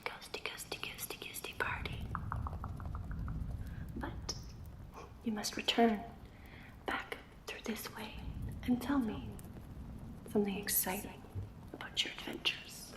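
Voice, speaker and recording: A woman playing a playful, mysterious enchanted portrait in an ASMR roleplay, teasing with riddles and repeating words. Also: fairly high pitch. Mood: pleased, playful. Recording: echoey room sound.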